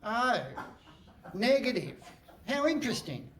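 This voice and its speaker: An actor playing a curt, pompous and impatient police inspector in a comic radio play on stage. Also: male voice, very high-pitched, big pitch swings, unhurried, a bit bright, exaggerated voice. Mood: bossy, pompous. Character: bossy, impatient, exasperated male police inspector. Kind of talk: comic live radio theatre. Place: hall stage before live audience.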